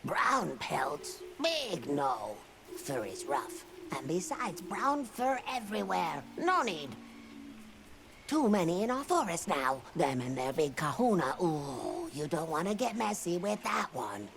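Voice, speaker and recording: A woman's voice: lowish voice, very animated, takes it slowly, creaky, crowd noise, exaggerated voice. A woman voicing a small, furry, self-important creature trader in a video game, with a cartoonish voice and pompous broken English full of mangled big words. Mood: haggling. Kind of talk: video game cutscene acting. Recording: music behind the voice. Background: game music.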